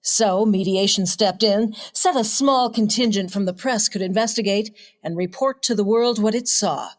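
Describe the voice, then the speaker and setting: A woman playing a sardonic, unflappable high-society newspaper reporter in an old-time detective radio play. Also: normal speed, natural voice. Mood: matter-of-fact, wry. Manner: dry, sardonic.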